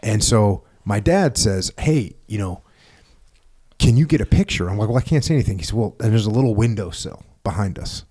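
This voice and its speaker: A man with a low voice tells a story in a loud, lively way and is amused at himself. He jumps up in pitch when he acts out a scene. The talk is casual and full of asides. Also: brisk, husky. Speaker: podcast host.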